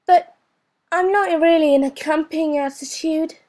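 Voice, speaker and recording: A woman's voice: very high-pitched, fairly even intonation, unhurried, a little mellow, voice pushed up. A woman reading a children's storybook, voicing a chatty, bossy schoolgirl who keeps interrupting her teacher with insistent questions. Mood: pestering.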